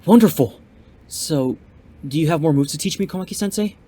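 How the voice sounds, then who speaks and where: A man as an animated young fighter, echoey sound. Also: a little high, a little fast, natural voice. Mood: upbeat. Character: eager, polite young male martial arts student. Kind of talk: audio drama acting. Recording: echoey, reverberant, faint background noise.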